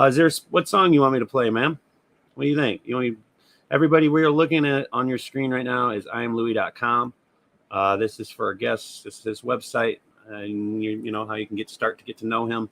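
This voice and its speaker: A man's voice: lowish voice, a bit bright. A man hosting a casual podcast livestream, talking loosely and swearing freely as he thinks aloud. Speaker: podcast host. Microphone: remote stream setup.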